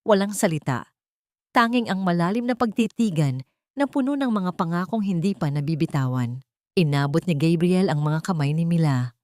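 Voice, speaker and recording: A Filipino woman narrates a scripted audio drama in a slightly low voice. Her delivery is measured, literary and sentimental. The sound is dry and close, like a studio voice-over. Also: darkish tone, no room sound. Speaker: storyteller.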